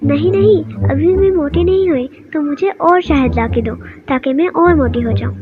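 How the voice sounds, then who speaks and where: A woman's voice: sits high, moderate pace, noisy background, natural voice. A woman voicing a clever young girl with a childlike, coaxing manner in a children's cartoon. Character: clever young girl tricking her captor. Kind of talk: children's cartoon story dub. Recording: dull sound with music bed.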